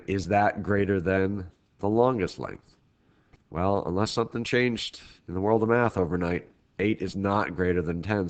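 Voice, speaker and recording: A man with a very deep, low-pitched voice delivers a recorded math lecture for his class. He is congested from a head cold, so his voice sounds thick and stuffy. He speaks in a casual, loose way, often restarting sentences mid-thought. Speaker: teacher. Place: at home, recording remotely.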